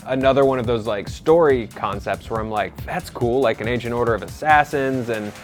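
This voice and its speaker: A man's voice: mid-range voice, brisk, soft background music. A man giving casual, wry, joking commentary on movies.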